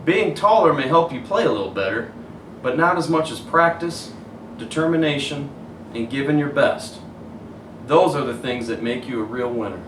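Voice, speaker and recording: A man voicing a caring, encouraging father in a children's storybook, gently questioning and reassuring. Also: medium pitch, lively intonation, moderate pace, natural voice. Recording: roomy, distant mic, faint hiss.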